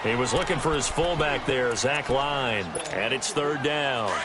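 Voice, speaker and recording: A man's voice: medium pitch, a little slow, very noisy, lightly acted. A man playing an upbeat, polished American football play-by-play announcer who calls the action in clipped phrases. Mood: engaged, upbeat. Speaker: play-by-play commentator.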